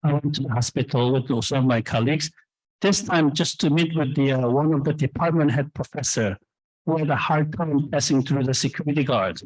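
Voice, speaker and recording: A man's voice: on the high side, brisk, a little mellow, dry recording, low-quality recording. A man in his fifties from South Korea, a startup chief answering audience questions on stage with candid, rambling talk full of fillers and restarts. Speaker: startup founder and CEO speaking at an event.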